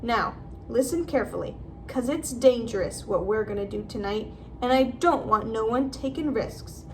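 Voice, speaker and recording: A woman voicing a gruff, fierce but kindly male gamekeeper in a storybook. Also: fairly high pitch, lively intonation, moderate pace, darkish tone, recorded from a distance, a little hiss or hum, close to an everyday voice. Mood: serious. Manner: growling, blunt.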